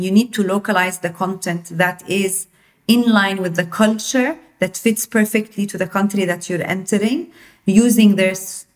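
A woman from Jordan, a company founder, talks as a guest in an interview. She explains things in detail and often says "like" as she goes. Her voice sits at a middle pitch. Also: low-quality recording. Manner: explanatory, uses filler "like" often. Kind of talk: interview conversation.

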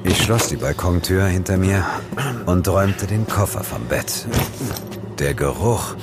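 An actor playing a world-weary, sardonic ghost hunter, narrating an audio drama in a measured, dry way. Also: male voice, deep voice, heavy background noise. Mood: brooding, measured. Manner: measured storytelling with dry sarcasm. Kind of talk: scripted first-person audio drama narration. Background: loud music.

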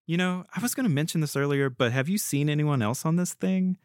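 A man's voice: fairly high pitch, a little fast, no room sound, studio quality, close to an everyday voice. Sassy, impatient young woman, acted by a man. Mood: worried. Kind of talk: audio story dialogue.

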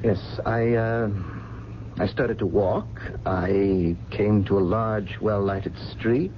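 An actor playing a bewildered, fearful, well-spoken amnesiac in a radio drama, talking haltingly and earnestly. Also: male voice, fairly low pitch, fairly even intonation. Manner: halting, earnest, articulate, repeats words. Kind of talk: 1970s American radio drama acting. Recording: muffled, noisy old radio broadcast recording.

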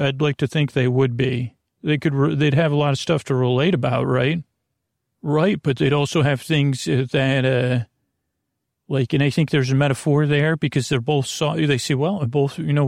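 Male sleep podcast host, higher-pitched and gravelly, halting, whimsical rambler, close dry recording. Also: no echo. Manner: meandering, halting, digressive; gently whimsical, playing out imagined exchanges.

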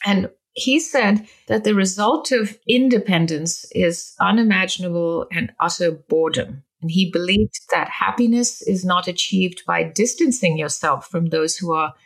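Breathy, low-pitched woman host. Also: normal speed, hardly any pauses. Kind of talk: radio-style interview.

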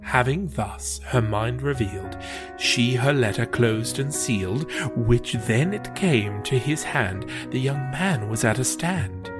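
A man reciting poetry in a measured, formal, old-fashioned dramatic style. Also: mid-range voice, expressive. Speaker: poetry reader. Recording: music under the voice. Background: music.

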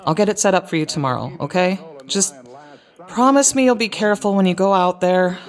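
A woman playing a caring, earnest, gently exasperated young adult daughter in a naturalistic audio drama, speaking conversationally. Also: lowish voice, fairly quick, close to an everyday voice.

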